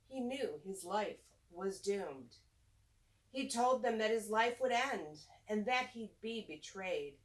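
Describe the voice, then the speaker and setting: A woman hosts a storytime for young children, reading rhyming verse at an unhurried pace. Her delivery is deliberate and teacher-like, with a medium-pitched voice. She sounds slightly distant from the microphone. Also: a bit bright. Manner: slow, deliberate, teacher-like address to young listeners. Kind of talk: read-aloud rhyming children's storybook with simple friendly asides.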